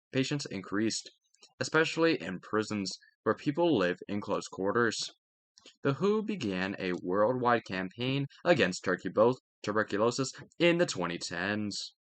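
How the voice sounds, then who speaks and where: A man's voice: medium pitch, a bit bright. A man reading educational text aloud in a careful way, now and then stumbling and correcting himself. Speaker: narrator reading a history textbook aloud. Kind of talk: read-aloud educational narration.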